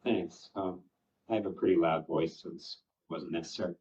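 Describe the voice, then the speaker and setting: A man over sixty-five asking an unscripted question from the audience in halting, deliberate phrases. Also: low voice, steady tone, darkish tone. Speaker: audience questioner, former physician. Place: conference summit event. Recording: muffled, distant event microphone.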